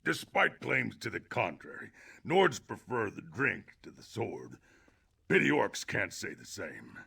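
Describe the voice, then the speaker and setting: Gravelly, boozy Nord joker. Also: male voice, on the low side, big pitch swings, a little slow, pushed and bright, exaggerated voice. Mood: boastful, jovial. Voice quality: gravelly, husky. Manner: wry, jovial, garrulous. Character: boozy, irreverent Nord man who solves everything with ale. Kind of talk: video game character dialogue. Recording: music behind the voice.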